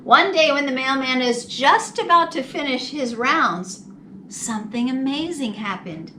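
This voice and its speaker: A woman reading a picture book to young children in a slow, animated, deliberate storytime style. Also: sits high. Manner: deliberate, animated, drawn-out phrasing. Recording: distant mic, faint background noise.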